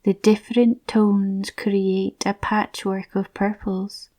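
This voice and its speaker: A woman softly narrating a scripted guided visualisation in a soothing, breathy, near-whispered voice. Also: mid-range voice, little pitch movement, slow pace.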